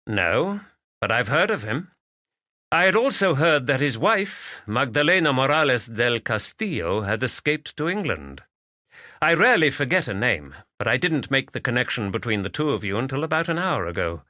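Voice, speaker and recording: A composed, gallant gentleman detective, voiced by the male narrator, firm and courteous with a bright, animated ring to his measured speech. The reading is close and dry. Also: medium pitch, no room sound, pitched above the performer's own voice. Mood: probing. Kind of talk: audiobook character voice.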